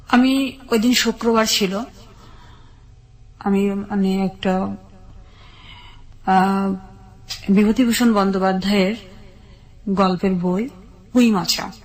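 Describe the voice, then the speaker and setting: A woman with a Bangladeshi accent tells her personal story in an interview, measured and step by step in short phrases. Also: medium pitch, low-quality recording. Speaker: guest telling her life story.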